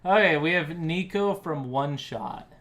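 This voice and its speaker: A man hosting a casual stream, bantering in an animated, argumentative way. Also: a little high, a little slow. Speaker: podcast host and streamer.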